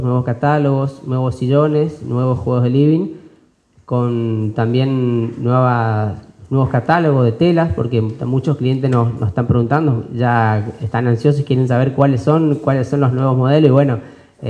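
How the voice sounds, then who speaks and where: A man presents at a press conference, pausing often with fillers and restarting phrases. His voice is mellow and dark, picked up by a desk mic in a conference room. The sound is dull and lo-fi. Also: medium pitch. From Argentina. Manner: halting, many fillers and restarts. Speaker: business owner presenting an expo. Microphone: desk mic on stand.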